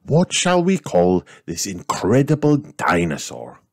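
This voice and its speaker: A man's voice: lowish voice, wildly swooping pitch, takes it slowly, no echo, studio quality, pitched above the performer's own voice, full character voice. A man voicing a cheerful grown male rabbit who announces things formally in a storybook reading. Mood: proud.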